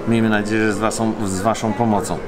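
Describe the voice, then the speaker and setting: Man vlogging over loud guitar music. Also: on the low side, flat delivery, quick pace. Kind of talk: casual vlog talk to viewers.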